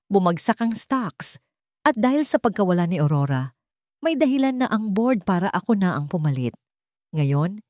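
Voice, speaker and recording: A woman's voice: on the low side, a little mellow, no room sound, clean studio sound, close to an everyday voice. A woman voicing a scheming, ruthless man who taunts and threatens his rivals in a storybook drama. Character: scheming ruthless male rival, sneering and cold.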